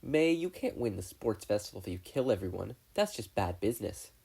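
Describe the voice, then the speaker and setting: A man's voice: on the low side, very animated, a little fast, vocal fry, darkish tone, breathy edge, exaggerated voice. A man voicing a snarky, matter-of-fact teenage girl inventor with a dry manner.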